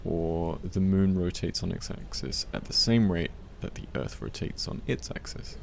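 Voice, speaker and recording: A young man playing a gentle, teasing study partner in an ASMR roleplay, speaking softly and breathily, often close to a whisper. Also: deep voice, expressive, vocal fry. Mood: calm, studious. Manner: hushed, unhurried, hesitant with small hums. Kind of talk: soft-spoken ASMR roleplay. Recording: faint library ambience noise. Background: quiet library ambience.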